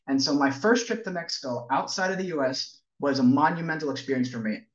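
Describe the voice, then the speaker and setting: A man's voice: fairly high pitch, roomy sound. A young man from New Jersey giving a friendly, lightly joking slide presentation over a video call. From New Jersey, USA. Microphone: webcam on a video call. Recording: distant, dull-toned video call audio.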